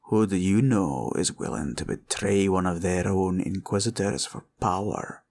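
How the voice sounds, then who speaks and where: A man playing a scheming, condescending male green dragon villain in a roleplay ASMR audio, with a gravelly, husky voice and a slow, probing drawl. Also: low-pitched, a little mellow. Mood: stern, condescending.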